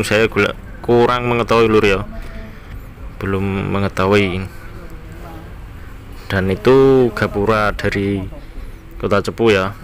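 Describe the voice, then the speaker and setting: A man with an East Javanese accent giving casual, conversational driving commentary to his viewers as a car vlogger. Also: on the low side. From Bojonegoro area, East Java, Indonesia. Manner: conversational, often addressing viewers as friends. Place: inside a moving car on town roads. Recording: steady vehicle and road noise. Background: car and traffic noise.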